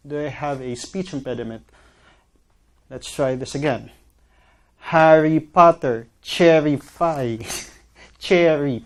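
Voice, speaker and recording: A man performing a slow, rhythmic spoken word piece of repeated word lists. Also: on the high side. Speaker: guest.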